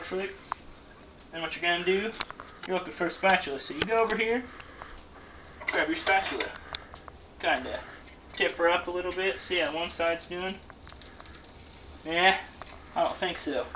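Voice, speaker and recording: A man giving a casual, joking cooking demonstration in a folksy, slangy, rambling way, recorded in a home kitchen. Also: sits high. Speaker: host of amateur comedic cooking show. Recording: distant, dull lo-fi, loud background noise.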